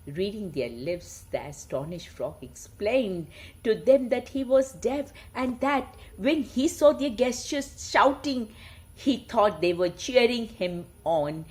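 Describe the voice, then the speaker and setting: A woman with an Indian accent, sharing moral stories in an informal, animated way. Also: medium pitch, slow. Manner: chuckling, animated, informal asides. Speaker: storyteller and host. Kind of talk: casual chat mixed with read-aloud moral tales.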